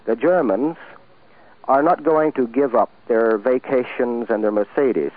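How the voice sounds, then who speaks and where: A man speaks earnestly and deliberately as a guest in a political radio interview. English is not his first language. His mid-pitched voice comes through a thin, tinny broadcast line. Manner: earnest, deliberate, translating quotes aloud.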